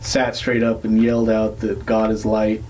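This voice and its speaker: A man with a lowish voice tells a long story in a loose, chatty way. He fills the gaps with ums and likes, and a faint hiss sits under the recording. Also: completely flat delivery, moderate pace, a little mellow. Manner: rambling storytelling with many fillers. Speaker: podcast co-host. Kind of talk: casual chat.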